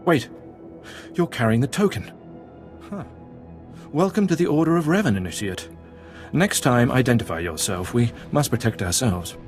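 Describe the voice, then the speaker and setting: An actor playing a guarded, reverent cult zealot in a video game, earnest and declamatory. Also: male voice, mid-range voice, very animated, normal speed, long pauses, soft background music, natural voice. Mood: solemn welcome. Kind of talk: video game cutscene acting.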